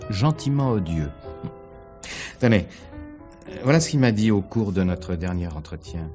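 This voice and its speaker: A discreet theatre agent in an old-style radio mystery. His voice is very low, his phrasing measured and guarded, with faint music underneath. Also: expressive. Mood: explanatory. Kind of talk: 1950s French radio mystery acting.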